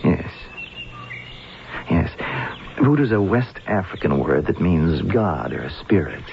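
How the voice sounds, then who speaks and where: A low, gravelly, guarded protective man. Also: sing-song, slow pace, exaggerated voice. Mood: hesitant, grave. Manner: hesitant, reassuring, guarded. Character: evasive, protective man calming a worried darling. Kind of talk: old radio drama acting. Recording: muffled, noisy old radio recording.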